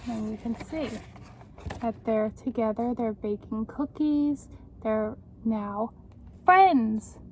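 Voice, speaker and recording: A woman reading a children's picture book aloud in an expressive, encouraging, teacherly way, pausing to put questions to young listeners. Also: fairly high pitch, a little hiss or hum.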